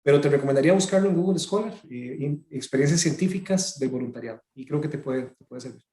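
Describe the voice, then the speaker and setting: A man answering audience questions on a video call, fast and hesitant, often repeating words. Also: medium pitch, warm tone, echoey room. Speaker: webinar speaker.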